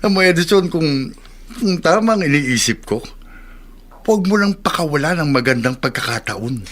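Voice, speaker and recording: Animated, coaxing older man. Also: a little high, normal speed. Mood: coaxing, teasing. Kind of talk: Tagalog radio drama acting.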